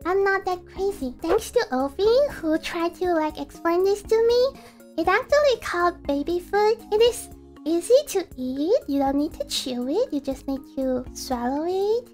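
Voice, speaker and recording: A woman's voice: extremely high pitch, animated, moderate pace, darkish tone. A woman streamer giving a casual self-introduction, chatty and insistent. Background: faint music.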